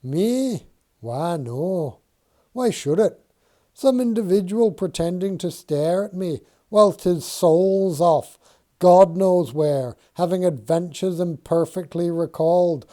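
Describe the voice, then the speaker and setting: A man voicing an earnest, easily startled Quaker surveyor who speaks in archaic thee-and-thou English, loud and agitated. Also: high-pitched, slow pace, voice pushed up. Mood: excited.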